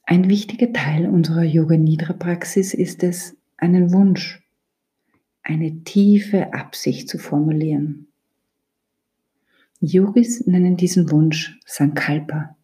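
Woman doing guided meditation, really slow and calm, soft half whispery voice, mellow tone. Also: sits low. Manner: calm, soothing, deliberate instruction with pauses. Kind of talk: guided yoga nidra meditation.

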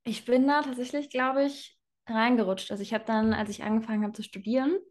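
A young woman who grew up bilingual, speaking as an interview guest in a relaxed, reflective way with frequent fillers and laughs. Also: a little high, fairly quick. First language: bilingual German and Spanish. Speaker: guest, self-employed in personal branding. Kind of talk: casual interview conversation.

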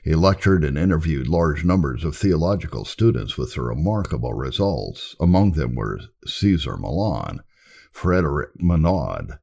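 A man with an extremely deep, mellow voice narrates history from a script. His tone has a gravelly creak at the edges. He reads in a formal, measured audiobook manner. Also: animated. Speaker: reader of historical readings.

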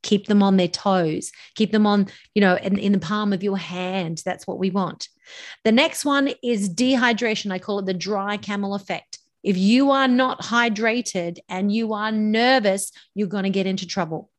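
A woman's voice: mid-range voice, normal speed. A middle-aged woman, a voice coach, giving emphatic public speaking advice in a podcast interview. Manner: animated and emphatic, stretches words for effect. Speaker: voice coach, guest.

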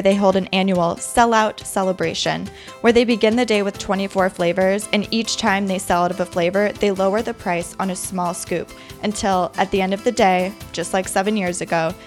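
Woman, American accent, medium pitch, podcast host, scripted narration, light music bed. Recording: music under the voice. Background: background music.

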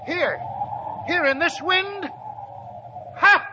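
An actor playing a seasoned old American gold prospector, folksy and wry, in 1940s radio drama style. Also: male voice, very high voice, drawn-out words, sharp tone, heavily acted. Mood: laughing bitterly. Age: older. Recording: dull, noisy old radio recording.

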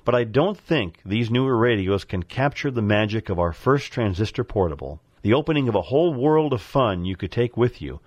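A middle-aged man giving a scripted, nostalgic narration in measured, formal phrasing, as a contributor to a radio show. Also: lowish voice, animated, no echo, muffled recording.

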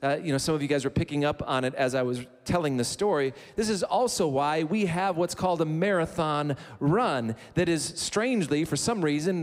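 Pastor preaching in a reverberant hall. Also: male voice, a little high. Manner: conversational preaching with asides and humor. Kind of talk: sermon. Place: church sanctuary. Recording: long reverb of a church hall.

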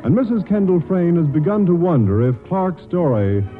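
A man narrating a 1940s radio drama, setting the scene in a wry, deliberate storytelling manner. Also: high voice, sing-song. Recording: muffled 1940s radio recording, prominent music.

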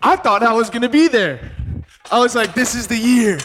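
A man's voice: very high-pitched, lively intonation, moderate pace, a bit bright, soft background music. Young man, laid-back storytelling co-host. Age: 20s. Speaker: podcast co-host. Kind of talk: casual chat.

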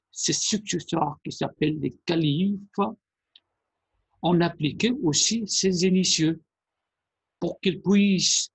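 Male presenter, measured and hesitant, husky low-energy delivery with a dry sound. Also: no echo, poor audio. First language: Persian. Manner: deliberate, halting phrasing with pauses. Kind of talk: explanatory monologue.

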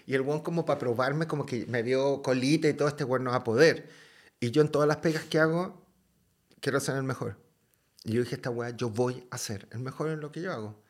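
A man from Chile with a Chilean accent, a podcast guest telling a story in a casual, lively way, full of slang and swearing. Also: mid-range voice, brisk.

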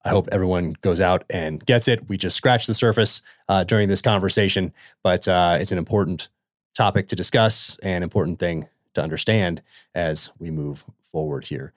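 Deep-voiced man hosting a podcast. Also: dry recording, clean studio sound. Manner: earnest, halting with many fillers when asking questions. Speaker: podcast host. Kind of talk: podcast introduction and interview questions.

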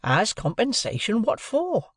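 Man voicing Joe, earnest rustic blacksmith, pitched high. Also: animated, moderate pace, no room sound. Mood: wounded, earnest. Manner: rambling, repetitive, rustic turns of phrase. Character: Joe, big tender-hearted village blacksmith, indignant when provoked. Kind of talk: audiobook character dialogue.

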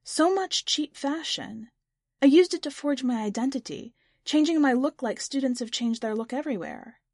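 A woman's voice: high voice, expressive, moderate pace, a little mellow, no echo, studio quality, voice pushed up. A woman reading the part of a hesitant, inventive young woman in an audiobook story, improvising earnest answers.

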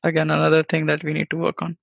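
A man with a gravelly, fry-tinged voice adding dry, offhand comments to a conversation. He speaks in a flat, even line on a somewhat raised pitch. The sound is dry, close and dull, like lo-fi audio. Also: rapid-fire, no echo. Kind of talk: casual interview.

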